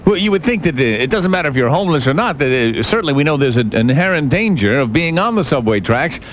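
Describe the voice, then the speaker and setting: A male radio host with a high, very lively voice that swoops around as he banters. He talks in theatrical, colorful slang, with music playing behind him. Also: quick pace, slight background noise, low-quality recording. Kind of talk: comic radio banter with a caller. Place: radio studio.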